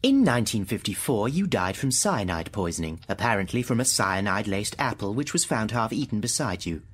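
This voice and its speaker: An actor playing an emotionless thinking computer in a radio drama, with formal, literal, clipped phrasing. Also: male voice, on the low side, big pitch swings, a little fast, slightly rough. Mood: factual recital.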